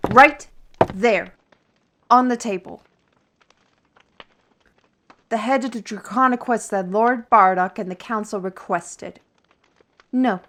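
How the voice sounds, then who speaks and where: A woman playing a weary, irritable, paranoid artist with magical abilities in a fantasy audio drama, grumbling and halting. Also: mid-range voice, close to an everyday voice.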